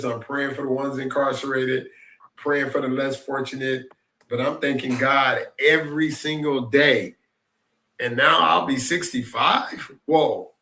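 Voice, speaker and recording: A man's voice: mid-range voice, creaky, bright, forceful tone. A man in his sixties hosting a casual reflective chat, rambling and repeating himself in an upbeat way. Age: 60s. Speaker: host. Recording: echoey room.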